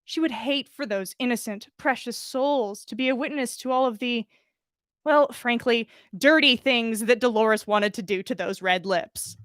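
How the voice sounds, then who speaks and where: A woman's voice: a little high, normal speed, fairly bright tone, dry recording, studio quality. A woman narrating fan fiction expressively as a podcast host reading a story aloud.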